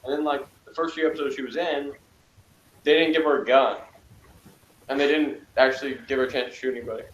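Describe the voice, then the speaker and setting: A man's voice: medium pitch, fairly even intonation, fast, dull audio. Chatty man in an echoey room. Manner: rambling, chatty, sarcastic asides. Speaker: podcast co-host. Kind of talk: casual review chat. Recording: echoey room with faint noise.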